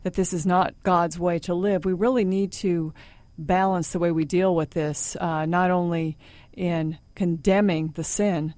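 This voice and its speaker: Low-voiced woman radio host. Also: fairly even intonation, a little mellow, no echo. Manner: measured, leading questions. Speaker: radio talk show host.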